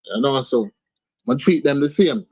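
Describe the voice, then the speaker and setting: A man's voice: mid-range voice, animated, normal speed, darkish tone, slightly rough, dry recording, low-quality recording. A man with an Antiguan accent, talking casually as a guest artist in an interview, often ending lines with "man" and "you understand". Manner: laid-back, sprinkles speech with "man" and "you understand". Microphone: video call.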